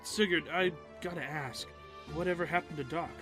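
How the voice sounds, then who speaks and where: A man's voice: a little high, normal speed, close to an everyday voice. A man playing a hesitant, jumpy young man in a fan radio play. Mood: uncertain. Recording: music behind the voice.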